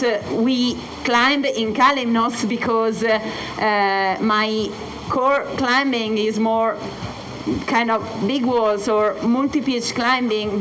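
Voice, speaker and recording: Slow, hesitant Italian woman. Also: a little high, a bit bright, a little hiss or hum, poor audio. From Italy. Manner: many filler sounds and pauses. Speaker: mountain guide presenting. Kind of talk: hesitant presentation talk. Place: hotel event space.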